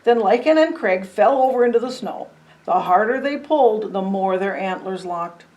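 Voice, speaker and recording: A woman reads a picture book aloud for children. Her voice is medium in pitch, and she tells the story expressively, with a dramatic touch. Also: expressive, normal speed, a little mellow, dull audio. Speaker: storybook reader. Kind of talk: children's story read-aloud with chatty asides.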